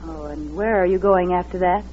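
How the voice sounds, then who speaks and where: A woman's voice: on the low side, moderate pace, lightly acted. An actress playing an anxious, wary woman motorist on the run, narrating in a dramatic 1940s radio suspense style. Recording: dull vintage radio recording with music behind.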